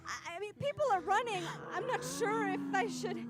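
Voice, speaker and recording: A woman's voice: very high voice, expressive, moderate pace, over loud music. A woman playing an alarmed young eyewitness in a radio drama, hesitant and flustered as she reports. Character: alarmed young female eyewitness narrating chaos. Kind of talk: science fiction radio drama acting.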